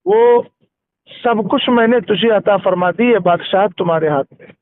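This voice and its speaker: A man's voice: sits high, huge pitch swings, normal speed, vocal fry, no room sound. A man, an Islamic scholar, giving a didactic religious explanation with recited verses and checking that his listener follows, over a phone call. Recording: narrow-band recorded phone call.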